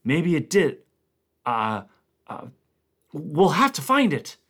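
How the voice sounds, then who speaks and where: A man voicing a small, nervous male biologist who stammers, in a high, startled voice. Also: lively intonation, takes it slowly, fairly bright tone, husky, exaggerated voice. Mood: uneasy.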